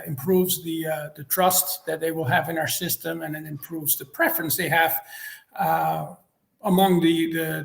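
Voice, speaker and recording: A middle-aged man from the Netherlands with a Dutch accent, a native Dutch speaker, explaining things in a measured, structured way as an interview guest, recorded in an echoey room. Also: fairly high pitch, gravelly, a bit bright. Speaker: interview guest, marketing executive.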